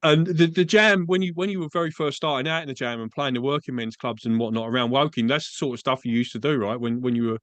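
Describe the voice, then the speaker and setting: A man's voice: medium pitch, fast, few pauses, a bit bright, dry recording. A man from England with an Essex accent, hosting a podcast interview in a chatty, agreeable way, often saying "mate". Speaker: podcast host. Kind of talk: casual interview chat. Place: Australia.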